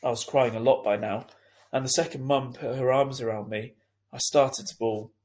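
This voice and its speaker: A man narrating a children's book as a teacher reading aloud, deliberate and expressive. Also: mid-range voice.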